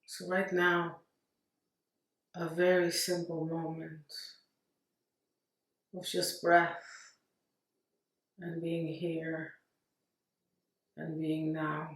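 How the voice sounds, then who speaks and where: A woman leading a guided meditation in a gentle, unhurried manner, leaving long pauses. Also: sits low, completely flat delivery. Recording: roomy echo, distant mic.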